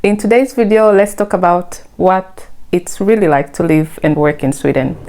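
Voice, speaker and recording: A woman's voice: lowish voice, moderate pace, dark tone. A woman from Tanzania hosting her own vlog, explaining things in a friendly, conversational way. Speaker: vlogger. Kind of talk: scripted vlog narration.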